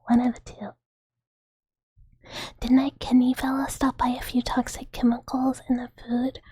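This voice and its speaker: A woman speaks in a very breathy, airy whisper, pitched on the high side. She chats like an ASMR streamer, rambling playfully to her viewers. The sound is close and dry. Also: darkish tone, dry recording. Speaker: livestreamer hosting a chat stream.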